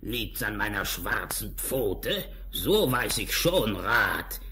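Slow, scheming big bad wolf. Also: male voice, lowish voice, very animated, bright, forceful tone, a little hiss or hum, full character voice. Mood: grumbling, scheming. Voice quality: rough, gruff, growling. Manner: scheming, menacing, theatrical. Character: sly, greedy, hungry big bad wolf. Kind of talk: German fairy-tale audio play acting.

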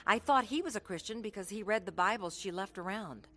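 A woman's voice: medium pitch, fairly quick, pushed and bright, soft background music, natural voice. An actress playing an earnest young woman telling her life story of faith in a radio drama. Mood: earnest narrating. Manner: earnest, heartfelt. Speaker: actress narrating and playing the lead. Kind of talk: radio drama acting with first-person narration.

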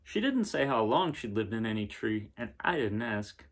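A man in his thirties reading a picture book aloud in a casual, unhurried way with pauses between phrases. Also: on the low side, very animated. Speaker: reader of children's picture books. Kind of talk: gentle read-aloud storytelling and casual chat. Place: small living room indoors, seen on camera.

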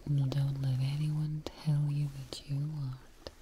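A young woman playing a devoted, tired scientist tending her creation in a breathy, gentle whispered ASMR roleplay, close to the mic. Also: a bass voice, flat delivery, noisy background. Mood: tender, awed. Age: young adult. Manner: slow, breathy, gentle, pausing. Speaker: ASMR performer. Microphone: close ASMR microphone.

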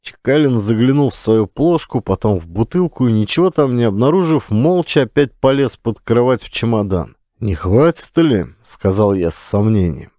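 Dark-toned male audiobook narrator. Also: medium pitch, dry recording, studio quality. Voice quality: measured, deliberate, lively intonation. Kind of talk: scripted first-person audiobook narration.

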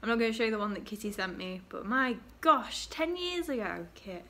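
A woman's voice: on the high side, very animated, fairly quick, pushed and bright. A young woman from England chatting casually to her webcam in a small bedroom, giggling and trailing off into fillers. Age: young adult. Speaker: vlogger. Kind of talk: casual chat to camera. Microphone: laptop webcam at desk.

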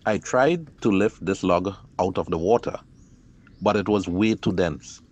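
A middle-aged man, calmly narrating his own kayak outing in a measured, explanatory voice-over. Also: low-pitched. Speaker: kayaker and channel host.

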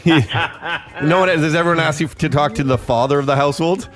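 A man co-hosting a morning radio show, joking along with the host and callers. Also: a little high. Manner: joking, quick to laugh along. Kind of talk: crude morning radio banter.

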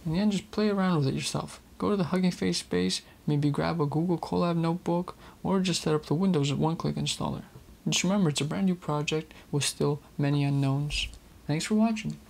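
A man hosting a casual tech video, explaining software in a chatty, candid way. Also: a little high, brisk, gravelly. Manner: chatty, candid about frustrations.

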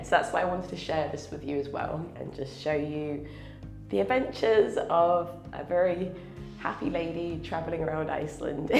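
A woman's voice: low voice, creaky, roomy sound. A woman in her thirties, stuffy and a little nasal from a cold, chatting to camera as a travel vlogger and laughing often. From Scotland. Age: 30s. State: congested from a cold. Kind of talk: casual vlog to camera. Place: small wooden cabin, then inside a car. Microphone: clip-on lapel mic.

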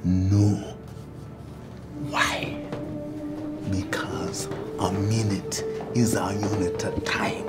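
An actor playing a pompous, intense pseudo-philosopher, grandiose and theatrical, swinging between hushed and booming. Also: male voice, steady tone, very slow, pauses often, loud music behind the voice. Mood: condescending. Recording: faint background noise.

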